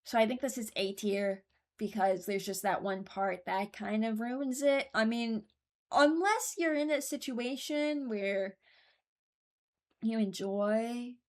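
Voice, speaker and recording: A woman's voice: a little high, slow pace, darkish tone. A woman hosting a casual reaction video, speaking informally and thinking aloud as she gives her opinions. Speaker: video host ranking game voice lines.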